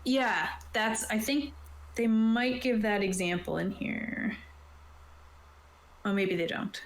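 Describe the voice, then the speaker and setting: A woman acting as game master, casually explaining rules from a book in an informal, halting way. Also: medium pitch. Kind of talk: casual explanatory talk, reading from a rulebook. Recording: roomy, echoing sound.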